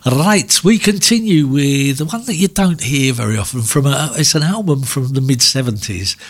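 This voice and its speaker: A man's voice: medium pitch, lively intonation, moderate pace. A man hosting a music radio show, chatting casually between records with lots of fillers and restarts. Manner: rambling, many fillers and restarts.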